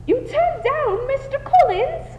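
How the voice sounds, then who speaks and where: Woman playing a shrill, gushing society mother. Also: expressive, unhurried, a little mellow. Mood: indignant. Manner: effusive, theatrical. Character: gushing, excitable middle-aged society mother. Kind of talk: 1920s radio drama parody. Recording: muffled, reverberant, faint hiss.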